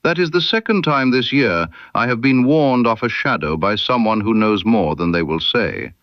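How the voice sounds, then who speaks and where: A man's voice: mid-range voice, normal speed, no echo, lo-fi sound, voice pushed up, lightly acted. A man voicing an incisive, composed Victorian detective in a mystery story, with precise, formal, probing speech. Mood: wry, suspicious.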